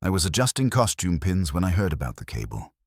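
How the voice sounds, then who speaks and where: A man's voice: deep voice, sing-song, quick pace, no room sound, studio quality. Man as frail, distressed woman witness. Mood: shaken, anxious.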